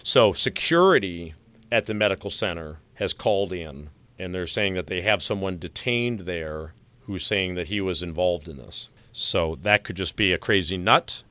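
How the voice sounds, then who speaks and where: A man hosting a talk, discussing audio clips in a serious, deliberate, analytical way. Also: low-pitched, lively intonation, pushed and bright, dry recording. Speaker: podcast host.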